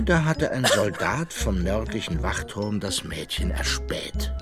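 A man narrating a children's radio play in a measured storytelling way. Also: medium pitch. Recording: music under the voice.

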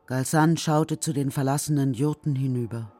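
A man's voice: mid-range voice, steady tone, moderate pace, dark tone, faint music behind. A man reading scripted narration for a children's radio play.

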